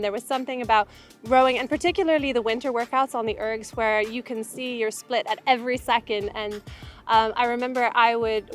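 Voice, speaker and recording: A young woman athlete from Uganda, speaking in a relaxed, reflective way with casual fillers in a documentary interview. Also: a little high. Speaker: Olympic rower.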